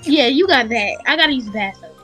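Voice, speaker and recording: A woman's voice: sits high, animated, quick pace, sharp tone, faint music behind. A woman playing a blunt, impatient young friend in a slangy improvised game roleplay.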